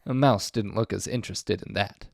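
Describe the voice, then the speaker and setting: A man narrating a children's picture book aloud in an expressive storytelling style. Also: lowish voice, normal speed, gravelly, no echo, clean studio sound.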